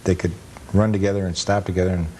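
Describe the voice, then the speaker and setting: A man's voice: low voice, level tone, fast, mellow, slight background noise. A man speaking as an interview guest, explaining things plainly like an enthusiast narrating old film. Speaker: guest author and amusement park enthusiast. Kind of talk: interview answers and film commentary.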